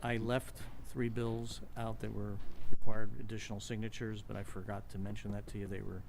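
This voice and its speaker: Flat, gravelly-voiced man, low pitched. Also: a little fast, a little hiss or hum. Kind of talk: brief informal aside.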